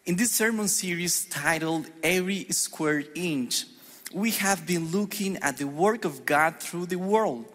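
A middle-aged Colombian pastor with a Colombian Spanish accent delivers an earnest, measured sermon. His voice sits high for a man, and it rings with the long reverb of a church hall. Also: a bit bright. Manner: earnest, measured preaching with deliberate pauses on scripture.

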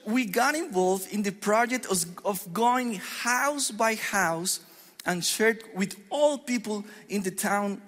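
A middle-aged Colombian pastor with a Colombian Spanish accent delivers an earnest, measured sermon. His voice sits high for a man, and it rings with the long reverb of a church hall. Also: a bit bright. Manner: earnest, measured preaching with deliberate pauses on scripture.

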